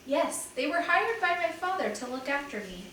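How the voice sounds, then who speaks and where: A woman's voice: sits high, very animated, normal speed, a bit bright, a little hiss or hum, pitched above the performer's own voice. A woman reading a earnest young girl. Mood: explaining. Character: polite girl who kept a secret. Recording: echoey room, mic at a distance.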